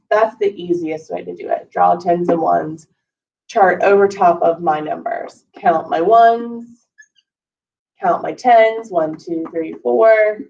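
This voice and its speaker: Grade-school teacher, woman, slow and encouraging, slightly scratchy voice, dull lo-fi recording. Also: mid-range voice, a little mellow. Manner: slow, patient, encouraging teacher talk with counting aloud. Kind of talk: recorded math lesson for young kids. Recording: lo-fi, voice a bit far from the mic.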